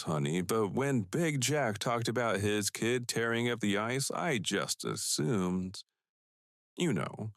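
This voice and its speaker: A man's voice: mid-range voice, fairly bright tone, no echo, studio quality, exaggerated voice. An actor playing a blustering, condescending older man in an audiobook, with a folksy, patronizing manner. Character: blustering, condescending older man, bushy-browed family friend. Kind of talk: audiobook character acting.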